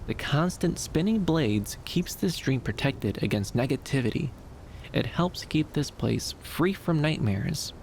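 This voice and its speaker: A man playing a gentle, shy, dreamy young femboy in an ASMR roleplay, soft-spoken and hesitant, soothing, with lilting upturns. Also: mid-range voice, expressive, measured pace, gravelly, slight background noise. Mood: calm, welcoming.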